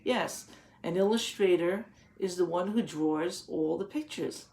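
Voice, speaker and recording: A woman's voice: lowish voice, a little slow, darkish tone, rough recording. A woman teacher reading a picture book aloud to young children, patiently explaining in simple words and putting questions to the listeners.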